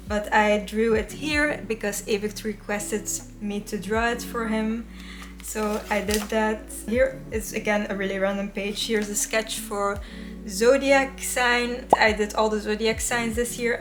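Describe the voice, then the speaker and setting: A woman's voice: medium pitch, a bit bright. A young woman whose first language is Dutch, chatting casually and self-deprecatingly while flipping through her sketchbook. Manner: chatty, self-deprecating, frequent little laughs. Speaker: artist vlogger. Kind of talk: casual sketchbook tour voice-over.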